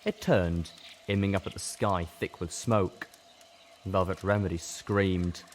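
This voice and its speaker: A male audiobook narrator with a very low voice reads scripted first-person fiction. His delivery is dramatic and deliberate, with faint noise in the background.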